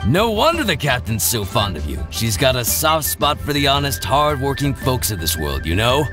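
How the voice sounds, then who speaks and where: An actor playing a burly, easygoing first mate in a video game, jovial and folksy. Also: male voice, medium pitch, huge pitch swings, moderate pace, music in the background. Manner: jovial, folksy, searching for words. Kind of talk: video game cutscene dialogue.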